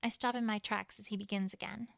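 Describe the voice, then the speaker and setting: A woman's voice: mid-range voice, steady tone, fast, creaky, a bit bright, no room sound, clean studio sound. A woman reading a first-person fantasy story as a dramatic narration. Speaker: fan fiction reader.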